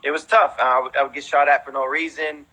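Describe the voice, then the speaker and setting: A man's voice: on the high side, steady tone, fast, bright, forceful tone, rough recording. A man in his thirties with an American accent, telling stories casually as an interview guest, with an informal way of talking full of conversational tags. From raised in Houston, United States, born in Mexico. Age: 30s. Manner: informal, peppers talk with "you know" and "man". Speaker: interview guest, veteran breakdancer.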